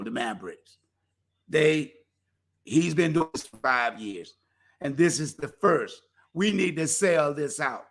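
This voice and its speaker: A man's voice: mid-range voice, creaky. A man hosting a community talk show alone, talking in a folksy, preacherly way and losing his voice. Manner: folksy, preacherly, animated exhortation. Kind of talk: casual solo talk show promotion.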